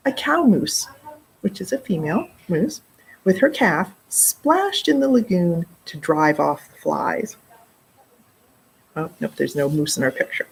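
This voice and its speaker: A middle-aged woman reads a story to children at an unhurried pace. Her lowish voice swings expressively, and she slips into casual explanations along the way. There is faint room noise behind her. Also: low-quality recording. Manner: expressive, explaining words and asides to young listeners. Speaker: children's librarian reading aloud. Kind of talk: read-aloud storytime with casual asides. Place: indoor library children's room.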